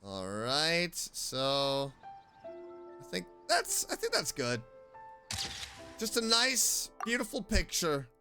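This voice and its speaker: A woman's voice: deep voice, sing-song, slow pace, long pauses, over music. A woman streaming a video game, giving casual, chatty and playful reactions as she plays. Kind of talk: casual reactive gameplay commentary.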